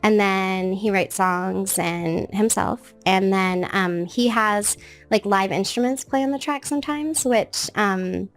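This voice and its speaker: A woman in her late thirties, a guest in a casual interview, with a naturally high, sing-song voice that jumps up into bright baby-talk tones when she quotes. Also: slow pace, soft background music. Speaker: guest, children's show creator.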